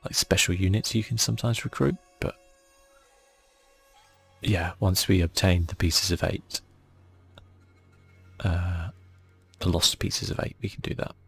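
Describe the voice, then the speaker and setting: A man speaking softly and casually, thinking aloud as he gives ASMR commentary on a video game. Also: deep voice, measured pace, gravelly, pauses often, breathy edge, faint music behind. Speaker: gaming channel host.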